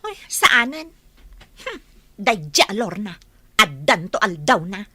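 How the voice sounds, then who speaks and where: A woman's voice: mid-range voice, sing-song, takes it slowly, pushed and bright, slight background noise, full character voice. A woman acting a jealous, spiteful, boastful young village woman in an Ilocano radio comedy drama, with an Ilocano accent and a catty, dramatic delivery. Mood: bitter, jealous.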